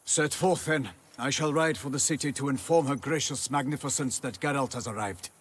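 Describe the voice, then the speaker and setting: An actor playing a gallant, courteous knight in a video game, with ceremonious, archaic phrasing. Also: male voice, medium pitch, normal speed, slight background noise, close to an everyday voice. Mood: courteous, decisive. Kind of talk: video game cutscene acting.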